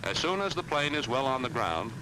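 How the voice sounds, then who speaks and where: A man's voice: medium pitch, big pitch swings, a little fast. American man narrating over noisy old film soundtrack. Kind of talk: 1940s military training film narration, scripted.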